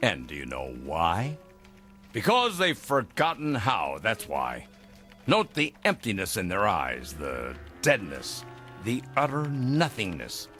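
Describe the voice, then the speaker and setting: Eccentric older male mentor, radio drama: lowish voice, hugely swooping delivery, avuncular and florid. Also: wildly swooping pitch, takes it slowly, bright, forceful tone, faint music behind, heavily acted. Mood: grave, impassioned. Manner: grandiloquent, avuncular, emphatic. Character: eccentric older gentleman, secretive rebel mentor, fond of laughter. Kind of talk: theatrical audio drama acting.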